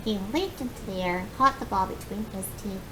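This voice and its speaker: A woman with a mid-pitched voice reads a children's book aloud. Her delivery is expressive and animated. The recording has clearly audible noise and a low rumble behind her. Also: fairly quick. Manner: expressive, acts out dialogue. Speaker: read-aloud storyteller.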